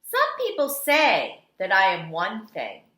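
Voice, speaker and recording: Female kindergarten teacher for picture-book read-aloud: bright, slow, expressive and dramatic, recorded in a roomy, echoey space. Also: medium pitch. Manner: dramatic, deliberate delivery with strong swells and hushed endings.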